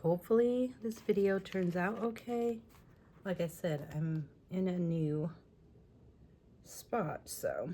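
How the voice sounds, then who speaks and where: A woman with a slightly lowish, raspy voice talks through a paper-crafting tutorial in her home craft room. She chats casually and keeps apologizing in little asides as she works. A faint hum from a fan sits underneath. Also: slow, warm tone. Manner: chatty, apologetic asides while working. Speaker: scrapbooking tutorial host. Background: fan running.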